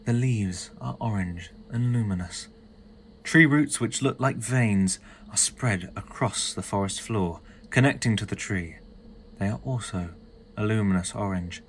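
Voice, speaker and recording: A man's voice: lowish voice, unhurried, a little hiss or hum. A man reading scripted narration as the narrator of an audio drama.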